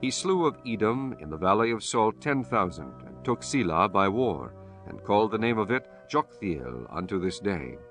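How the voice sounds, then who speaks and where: A man reading scripture as an audiobook narrator in a solemn, measured, formal manner. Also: fairly low pitch, very animated, soft background music.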